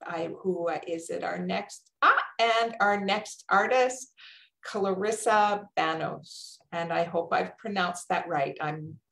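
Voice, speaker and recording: Slow, halting woman hosting in a roomy space. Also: fairly low pitch, creaky, fairly bright tone. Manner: halting, polite. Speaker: host. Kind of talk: informal event hosting. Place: indoor room on a video call. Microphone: video call microphone. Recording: roomy, echoing video call.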